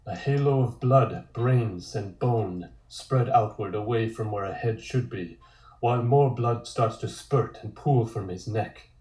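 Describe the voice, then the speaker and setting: A man's voice: on the low side, measured pace, a little mellow. A man reading scripted stage directions as the narrator of a comic table read. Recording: roomy, echoey sound.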